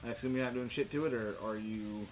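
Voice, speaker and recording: A man's voice: medium pitch, fast. A man acting as game master in a casual tabletop session, narrating and bantering with a joking, foul-mouthed manner.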